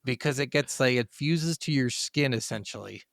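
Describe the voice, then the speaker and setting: A man co-hosting a podcast, chatting casually about video games in an animated, sweary, slangy way. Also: mid-range voice, moderate pace, vocal fry, no echo, studio quality. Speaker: podcast co-host.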